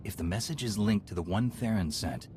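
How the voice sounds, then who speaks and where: An actor playing a decisive, heroic knight commander in a video game, speaking directly and with purpose. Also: male voice, lowish voice, lively intonation, a little fast, background noise, lightly acted. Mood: thoughtful. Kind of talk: dramatic video game acting.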